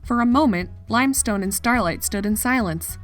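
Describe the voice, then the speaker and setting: Casting: female storyteller for a fan fiction audio drama, mid-pitched, music softly behind. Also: expressive, normal speed. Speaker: narrator. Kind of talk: scripted fiction narration, storytelling.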